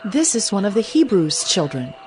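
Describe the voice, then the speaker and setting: An actress playing a compassionate, commanding Egyptian princess in an audio Bible drama. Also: female voice, mid-range voice, very animated, normal speed, over music, close to an everyday voice. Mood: gentle command.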